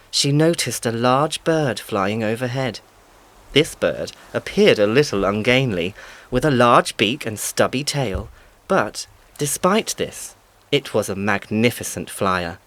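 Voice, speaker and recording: A man's voice: mid-range voice, fairly bright tone. A man reading scripted storybook narration as the narrator.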